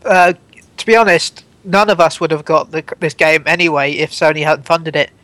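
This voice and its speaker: A man's voice: on the high side, fairly even intonation, moderate pace, pushed and bright, no echo. A man in his twenties co-hosting a gaming podcast, rambling and restarting his sentences. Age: 20s. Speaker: podcast co-host. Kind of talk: casual gaming podcast chat.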